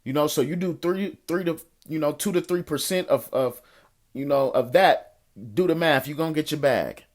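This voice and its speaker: A man's voice: a little high, normal speed. An American man hosting an informal coaching call, answering listener questions in colloquial street slang, encouraging and repeating phrases for emphasis. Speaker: host of a financial coaching call, investor and entrepreneur.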